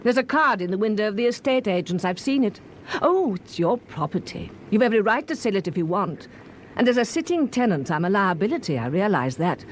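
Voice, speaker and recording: An actress playing a genteel, aggrieved and anxious tenant in a radio drama, prim and earnest, with a British accent. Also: female voice, medium pitch, very animated, a little fast, a little hiss or hum, natural voice. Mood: indignant.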